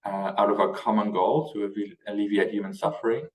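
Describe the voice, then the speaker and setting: A man's voice: fairly low pitch, steady tone, a little fast, a little mellow, echoey room, recorded from a distance, rough recording. A young man with a Dutch accent, a native Dutch speaker, answering questions as an interview guest in a conversational way. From Netherlands. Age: young adult. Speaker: interview guest, youth organization leader.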